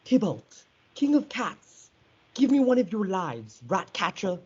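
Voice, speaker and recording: A taunting, theatrical swordsman played by a woman in a stage play. The speech is slow and swoops widely, very husky, on a muffled recording. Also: medium pitch, very animated, a little mellow. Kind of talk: student readers theatre performance.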